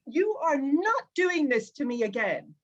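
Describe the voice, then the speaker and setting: A woman's voice: sits high, very animated, measured pace, dull audio. A woman acting a pushy, self-important author in her fifties, grand and commanding, in a drama table read. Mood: indignant.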